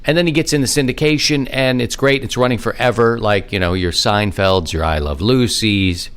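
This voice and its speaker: A middle-aged man hosting a hobby podcast alone, talking in a casual, rambling, conversational way with animated asides. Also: mid-range voice, normal speed, smooth. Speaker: amateur radio podcast host. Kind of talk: casual solo podcast monologue.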